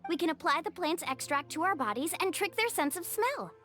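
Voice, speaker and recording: A woman's voice: extremely high pitch, lively intonation, brisk, a bit bright. A woman voicing a cheerful young woman who guides her group and takes the lead. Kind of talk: game voice acting. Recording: game audio with faint music.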